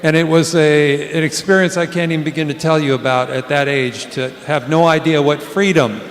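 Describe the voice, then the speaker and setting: A man's voice: a little high, fairly even intonation, brisk. An older man, a veteran presenter, speaking in an earnest, heartfelt way onstage. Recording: long hall reverb from a stage.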